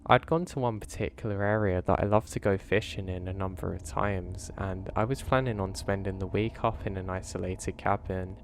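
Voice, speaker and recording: A man with a lowish voice, his throat slightly strained, reads first-person horror stories in a calm, measured way. He speaks as a steady host over a faint bed of background noise. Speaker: horror story narrator. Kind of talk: scripted first-person story narration.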